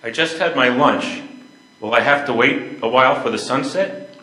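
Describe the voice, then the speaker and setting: An older man reads a joking husband in a stage play. His voice is bright and pointed, his delivery dry and teasing. The room is clearly echoey. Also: medium pitch, normal speed, lightly acted. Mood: wry. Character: wry, joking husband on holiday. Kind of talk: amateur readers theater.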